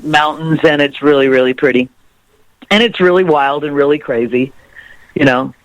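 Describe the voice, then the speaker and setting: A musician guest talking in a rambling, laugh-filled way during a radio interview. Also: male voice, on the high side, moderate pace, no echo, poor audio. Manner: rambling, laughs often, warm storytelling. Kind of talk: interview chat.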